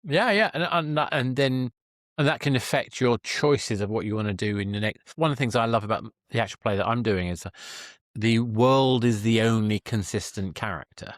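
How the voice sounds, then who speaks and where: A man's voice: medium pitch, moderate pace, no echo, clean studio sound. A man hosting a conversational podcast interview, thoughtful and wordy as he searches for his phrasing. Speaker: podcast host interviewing a guest.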